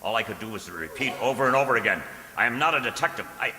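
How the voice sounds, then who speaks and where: A man acts an anxious, earnest poet in a radio play, with a lowish but sharp, forceful voice. He speaks intensely and stammers nervously, wrapped in heavy hall reverb. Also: animated, very fast. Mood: panicked. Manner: intense, stammering when spoken to. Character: earnest, nervous male poet, narrating his own fearful tale. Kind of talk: dramatic first-person radio narration and acting. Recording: echoing reverberant hall sound.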